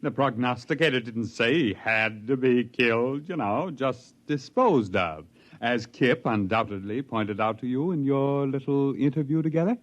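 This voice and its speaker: Man playing wry, mid-pitched retired overlord. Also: normal speed, dull audio, close to an everyday voice. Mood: calm, wry. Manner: wry, matter-of-fact. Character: dry, unflappable retired male overlord, secretly alive. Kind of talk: 1950s radio drama acting.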